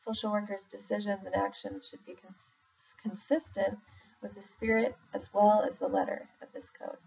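Lady reading an official document out loud, mellow and really creaky, pauses between phrases. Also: mid-range voice, steady tone. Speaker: reader, social worker in exam preparation. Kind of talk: reading a formal document aloud. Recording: muffled, distant mic with faint background noise.

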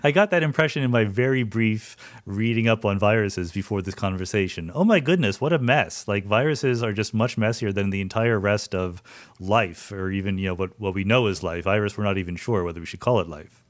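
Middle-aged guy hosting a podcast, lowish voice, curious and eager. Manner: curious, enthusiastic, quick asides. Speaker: podcast host. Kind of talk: conversational interview.

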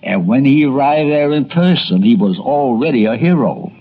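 Guy narrating a radio documentary, really lively delivery, bit lo-fi. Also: medium pitch, normal speed, faint music behind. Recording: dull lo-fi radio documentary audio.

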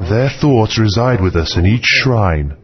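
An actor voicing an ancient, solemn spirit in a video game. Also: male voice, fairly low pitch, expressive. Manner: slow, solemn and grave. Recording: dull lo-fi game audio.

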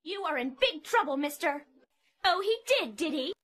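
A woman's voice: sits high, lively intonation, moderate pace, fairly bright tone. A woman voicing a stern cartoon mother with a reproachful, indignant manner. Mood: scolding. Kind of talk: cartoon character voice lines.

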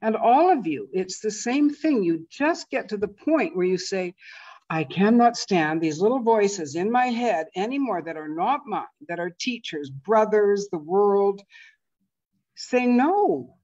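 A woman in her fifties or older with a deep, low voice, telling a personal story on a video call. She talks expressively, with loud emphasis and soft asides. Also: lively intonation, a little slow. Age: 50s or older. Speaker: co-presenter of an online master class. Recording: dull, lo-fi video call audio.

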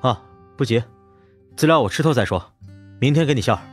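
A modest, steady young man, played by a man speaks courteously in a husky voice. Soft music runs under this audiobook drama. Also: mid-range voice, fairly quick. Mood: polite.

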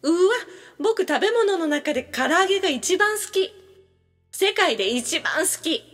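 An eager, food-loving little boy, voiced by a woman, pleads in a very high voice. The delivery is childlike and scripted like an audio drama, with soft music behind it. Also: expressive, measured pace, in a large hall, exaggerated voice. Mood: eager, pleading. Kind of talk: scripted language-lesson dialogue.

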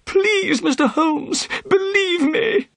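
A man's voice: very high-pitched, slow, gravelly, a bit bright, dry recording, voice pushed up, heavily acted. A man voicing a frightened, pleading man accused of murder in a detective storybook. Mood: panicked, pleading. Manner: trembling, pleading.

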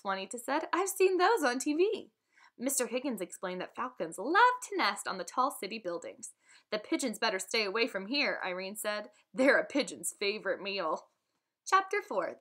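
A woman teacher reading a children's book aloud to students, with lively, expressive delivery and voices for the dialogue. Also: a little high.

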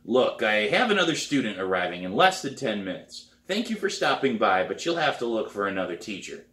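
A man's voice: on the low side, very animated, fairly quick, a bit bright. A man voicing a crabby, skeptical middle-aged drum teacher in a storybook reading, dry and dismissive. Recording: roomy, echoey living room.

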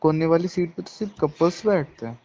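A man's voice: a little high, brisk, warm tone. A young man playing a jealous, accusing ex-boyfriend in a staged phone call, insistent and repeating himself. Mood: accusing, hurt. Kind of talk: staged phone-call conversation in casual Hindi. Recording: dull lo-fi phone-call sound.

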